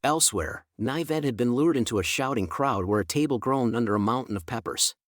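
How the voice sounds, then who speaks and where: A man's voice: medium pitch, a little fast, no room sound, studio quality. A man narrating a scripted fantasy story in a dramatic, ornate storyteller manner. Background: music.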